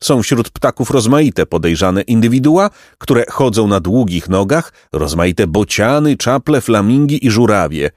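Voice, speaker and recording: A man voicing a vain, pompous, aristocratic pelican with a shrill squawking voice for a storybook. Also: sing-song, unhurried, few pauses, a little mellow, no echo, studio quality. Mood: haughty. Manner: pompous, haughty, florid exclamations. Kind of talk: storybook character voice.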